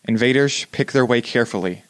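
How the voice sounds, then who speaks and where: A man's voice: medium pitch, fairly quick, clear, resonant tone, no echo, lightly acted, rough recording. A man as a news announcer, 1930s radio drama, grave formal delivery, dry recording.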